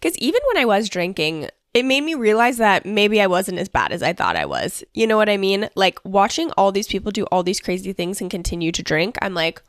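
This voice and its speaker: A woman's voice: mid-range voice, very animated, a little fast, hardly any pauses, no room sound, studio quality. A young woman hosting a casual solo podcast, chatty and upbeat, talking with a stuffy nose from allergies. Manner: bubbly, chatty, fillers like "like" and "honestly". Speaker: podcast host.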